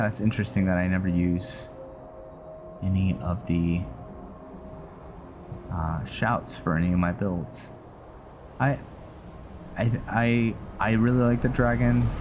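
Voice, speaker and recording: Low-voiced man rambling over gameplay. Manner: rambling, halting, thinking aloud. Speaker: gameplay video host and role-player. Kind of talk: casual gameplay commentary. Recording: clear background noise, game ambience. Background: noise and video game ambience.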